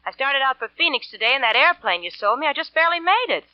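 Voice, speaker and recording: An actress playing a scheming, impatient wealthy wife in a clipped 1940s radio drama style. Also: female voice, sits high, big pitch swings, fast, dry recording. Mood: indignant. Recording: dull vintage radio recording.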